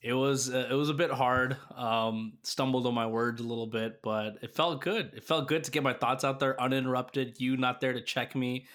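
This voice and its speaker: A young man hosting a casual sports podcast, easygoing and quick to laugh, stumbling over his words now and then. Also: medium pitch, steady tone, fairly bright tone. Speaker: podcast host.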